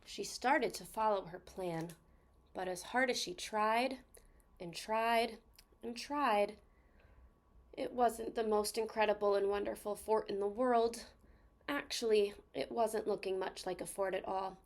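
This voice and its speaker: A woman reading a children's story aloud expressively, stressing key words with deliberate phrasing. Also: mid-range voice, fairly even intonation.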